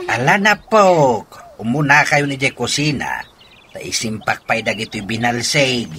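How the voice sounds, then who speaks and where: Casting: man as affectionate Ilocano grandfather, elderly, radio drama, bright and lively delivery. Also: medium pitch, a little slow, slight background noise. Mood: warm, instructing. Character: fond elderly grandfather farmer.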